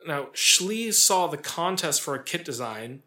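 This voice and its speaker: A man's voice: a little high, lively intonation, measured pace, bright, forceful tone. A man hosting a podcast, telling sports history in a casual, conversational way with wry asides. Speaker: podcast host. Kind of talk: casual storytelling about sports history, part scripted.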